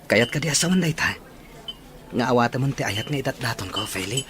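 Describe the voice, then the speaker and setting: Man acting young working man in love, radio drama, medium pitch, soft-hearted, sincere. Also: animated, fairly quick, noisy background, lightly acted. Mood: earnest, pleading.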